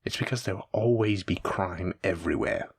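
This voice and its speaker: A man's voice: low voice, moderate pace, breathy edge, dry recording, studio quality. A man playing a suave, menacing mafia boss in a roleplay audio drama, with a theatrical, teasing and condescending manner. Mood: smug, calm menace. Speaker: voice actor. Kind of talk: scripted roleplay audio drama addressed to listener.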